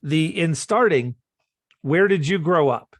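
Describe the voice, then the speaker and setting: A man's voice: fairly high pitch, lively intonation, slow, dry recording. Man, interviewer, friendly questions, dry close sound. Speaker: interviewer, panel guest.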